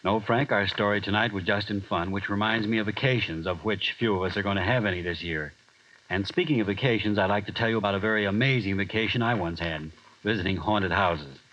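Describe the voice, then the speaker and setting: A man's voice: fairly low pitch, fairly quick. A man hosting a radio horror show, chatting easily with his announcer after the story. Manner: relaxed, conversational aside to the announcer. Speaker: radio drama host and writer. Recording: dull vintage radio recording.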